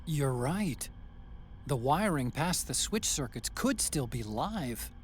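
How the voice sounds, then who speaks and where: A man's voice: a little high, very animated, takes it slowly, slight background noise. A man playing a friendly, chatty tech-expert sidekick in a video game, with an American accent and a lightly teasing, helpful way of talking. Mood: reflective, easygoing.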